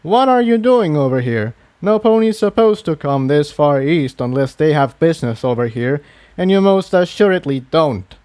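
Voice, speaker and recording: A man voicing a gruff, scornful male pegasus pony instructor in a fantasy story reading. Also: on the high side, lively intonation, moderate pace, dark tone, dry recording, close to an everyday voice. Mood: irritated. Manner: curt, scornful. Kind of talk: fan fiction story reading.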